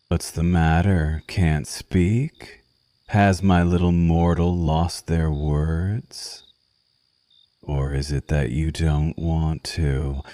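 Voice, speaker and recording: Man acting a seductive vampire lover, dark mellow voice, close dry sound, languid teasing murmur, ASMR roleplay. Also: very deep voice, no echo, full character voice. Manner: languid, teasing, purring endearments.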